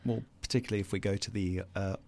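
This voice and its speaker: An older South African man with a low, gravelly voice, talking as an interview guest. He speaks with conviction but often stalls, repeats words and starts over mid-sentence. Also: fairly even intonation, rapid-fire, darkish tone. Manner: hesitant, restarts and repeats words, confident and earnest. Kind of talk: casual interview chat.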